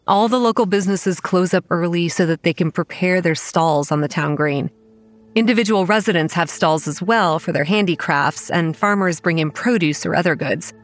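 An actress playing a wry, weary young campground manager who narrates a horror audio drama in a measured, dry way. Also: female voice, on the low side, no echo, natural voice. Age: young adult. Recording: music under the voice.

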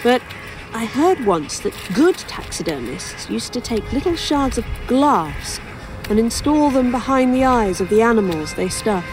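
A woman's voice: a little high, big pitch swings, measured pace, music in the background, poor audio. A woman playing an inquisitive, wry author in an audio drama, rambling and musing as she talks. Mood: curious, musing.

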